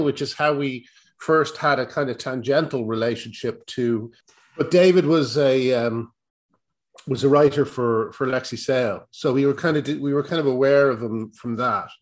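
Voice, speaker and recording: A man's voice: medium pitch, normal speed. A middle-aged Irish man speaking as a podcast guest, loose and conversational. He rambles through his stories with frequent restarts and dry, self-deprecating asides. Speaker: comedy writer, guest. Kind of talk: casual interview.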